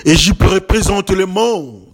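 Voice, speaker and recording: A man's voice: high-pitched, slow pace. A man preaching a sermon with emphatic, building repetitions and rhetorical questions, slightly congested from a cold.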